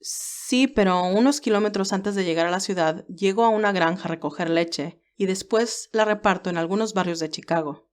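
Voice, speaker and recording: A woman's voice: mid-range voice, moderate pace, natural voice. A woman voicing a friendly, plain-spoken male farmer who drives a cart in an audio story. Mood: obliging. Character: obliging male farmer driving a milk cart.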